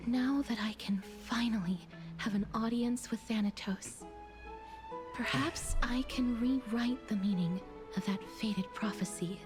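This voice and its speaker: An actress voicing a gentle, melancholy young woman bound to death in a video game, with a soft, breathy, solemn delivery. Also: fairly high pitch, unhurried, close to an everyday voice. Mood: quietly hopeful. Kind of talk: dramatic game voice acting. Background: game music.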